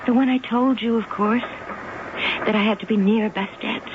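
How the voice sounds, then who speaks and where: A woman's voice: fairly high pitch, steady tone, moderate pace, close to an everyday voice. A woman playing obsessive cat-haunted Kitty. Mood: coaxing, tender. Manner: breathy and intense, swinging between coaxing and frantic. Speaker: museum worker. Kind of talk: 1970s radio drama acting. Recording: dull, hissy old radio broadcast.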